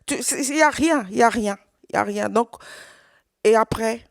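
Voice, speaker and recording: Halting woman with a gravelly voice. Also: a little high, no echo, clean studio sound. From Congo. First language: Swahili. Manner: halting, with many repeated words and restarts. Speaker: survivor giving testimony. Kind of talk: personal testimony at a conference.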